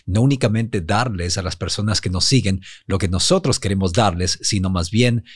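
A man with a fairly neutral Ecuadorian Spanish accent, co-hosting a podcast in a smooth, broadcaster-trained manner. His voice sits low, and the sound is dry and close, with no room echo. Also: normal speed. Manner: polished, broadcaster-like delivery. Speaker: podcast co-host. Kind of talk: business podcast conversation.

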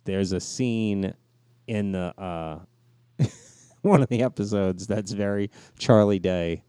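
A man's voice: lowish voice, slow, mellow, clean tone, no echo, studio quality. A man co-hosting a podcast, telling slangy, joking stories in a casual, chatty way. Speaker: podcast co-host.

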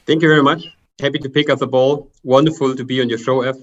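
A man from Germany with a German accent, a guest author answering interview questions in measured, slightly formal phrasing over a video call. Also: mid-range voice, fairly even intonation, muffled recording. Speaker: guest author, procurement consultant.

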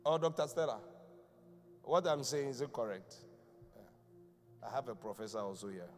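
A man's voice: mid-range voice, lively intonation, moderate pace. A man, a bishop preaching a live church sermon in a chatty, questioning way. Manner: conversational preaching, quizzing the congregation. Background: keyboard music under the voice.

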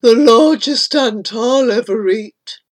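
A woman voicing a starving giant anteater in a comic verse story, pleading and deliberate. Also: on the high side. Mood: greedy, gleeful. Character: starving giant anteater, plaintive, then greedy and menacing.

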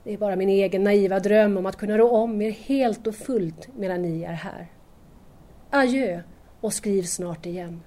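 An actress playing an anxious, yearning young student in love, earnestly confiding a letter in an audio drama. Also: female voice, mid-range voice, mellow, lightly acted. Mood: confiding, wistful. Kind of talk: audio drama monologue, letter read aloud.

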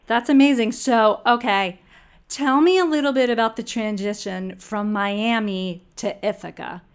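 Bright-voiced woman podcast host. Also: fairly high pitch, unhurried, smooth. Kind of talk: scripted intro and interview questions.

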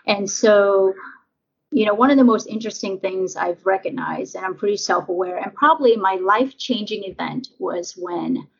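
A woman telling her life story in an interview, halting and full of fillers as she reflects. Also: medium pitch, vocal fry, husky. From Vietnam, raised in Nebraska. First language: English; Vietnamese basic. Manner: halting, many fillers and restarts. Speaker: interview guest. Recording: dull lo-fi audio.

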